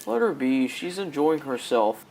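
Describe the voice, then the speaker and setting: A man's voice: a little high, sing-song, a little slow, gravelly, very noisy, full character voice. Young man as a wry, easygoing husband. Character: easygoing, wryly teasing husband and father. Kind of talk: high school radio drama acting.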